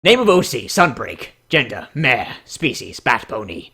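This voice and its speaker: A man's voice: mid-range voice, big pitch swings, measured pace, sharp tone, slightly rough, pitched below the performer's own voice, heavily acted. A man putting on a grave, dramatic narrator voice to read out character profiles. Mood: solemn, brooding. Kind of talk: dramatic scripted reading.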